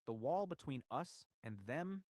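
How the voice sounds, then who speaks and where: A man reading scripted documentary narration with measured, rhetorical, dramatic phrasing. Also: a little high, lively intonation, a little mellow, dry recording, studio quality. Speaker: video essay narrator.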